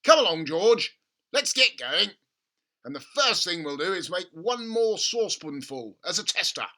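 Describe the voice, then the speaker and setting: A male reader acts out an excitable storybook father bursting with grand schemes. His voice leaps high and swings widely, with a very bright, forceful edge. He exclaims and bulldozes his way through every sentence. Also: moderate pace, exaggerated voice. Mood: frantic, impatient. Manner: shouting, exclaiming, overbearing.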